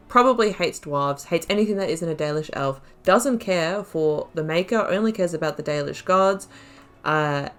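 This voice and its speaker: A woman gives casual commentary as the host of a gaming video. Her voice sits a little low. She thinks aloud expressively, leaning on emphatic repetition.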